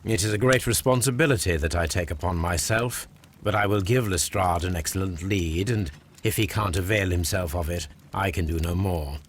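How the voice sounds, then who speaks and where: Game casting: male actor as coolly deductive British detective of Victorian London. Low voice, deliberate formal delivery, some noise behind. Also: natural voice. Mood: solemn, formal. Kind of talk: dramatic video game acting.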